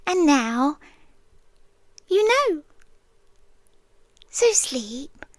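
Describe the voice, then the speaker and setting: Woman acting a slow, high-voiced possessive British monster girl. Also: no room sound, clean studio sound, full character voice. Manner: slow, breathy half-whispered cooing, dramatic. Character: possessive yandere winged monster girl, tender but menacing guardian. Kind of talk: ASMR roleplay. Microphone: close microphone.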